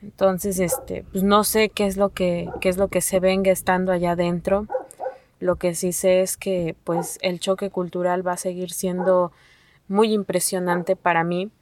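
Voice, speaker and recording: A woman from Mexico whose first language is Nahuatl, speaking haltingly with many fillers and restarts. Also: on the low side, little pitch movement, a little mellow, slight background noise. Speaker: asylum seeker. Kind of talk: testimony to an interviewer.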